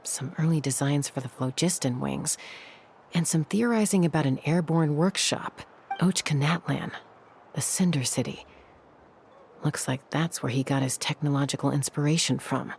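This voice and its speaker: Low-voiced, composed gunslinger. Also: female voice, gravelly, a little mellow, a little hiss or hum. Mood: thoughtful. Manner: measured, reasoning, cool-headed. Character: composed, thoughtful young female gunslinger investigator. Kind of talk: video game voice acting.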